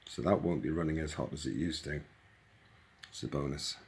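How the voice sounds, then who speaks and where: A man's voice: a bass voice, normal speed, creaky, darkish tone. A man giving a casual, hands-on explanation as a hobbyist, informal and thinking aloud as he goes. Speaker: hobbyist presenter demonstrating a modified radio.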